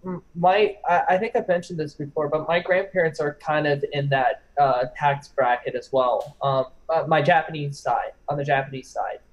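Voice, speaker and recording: Young male panelist, fairly high voice, casual, halting, hedging delivery with loose swearing. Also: steady tone, dull audio. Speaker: discussion participant. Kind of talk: casual chat. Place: online group call.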